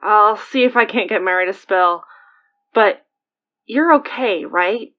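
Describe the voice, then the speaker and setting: A woman's voice: medium pitch, unhurried, muffled recording, natural voice. An actress playing a casual, teasing, supportive female friend in a naturalistic audio drama. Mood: supportive, playful.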